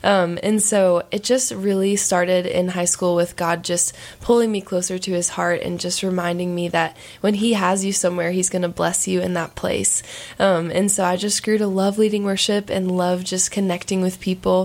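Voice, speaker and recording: A woman in her twenties with a raspy voice, a singer telling her story as a guest in a relaxed interview. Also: on the low side, normal speed. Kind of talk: casual interview.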